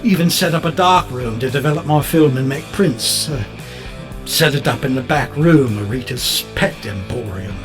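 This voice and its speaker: A man's voice: mid-range voice, normal speed, close to an everyday voice. Radio drama role: man playing a coarse, boozy London photographer with grand ambitions and a sour streak, music underneath. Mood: self-pitying, wry. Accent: British, London. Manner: coarse, cursing, colloquial rambling. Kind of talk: audio drama narration and dialogue.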